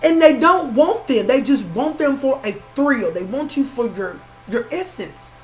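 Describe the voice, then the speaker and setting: A woman's voice: high-pitched, expressive, unhurried. A woman with a Southern accent doing an informal tarot reading, repeating herself and calling the listener honey. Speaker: spiritual reader.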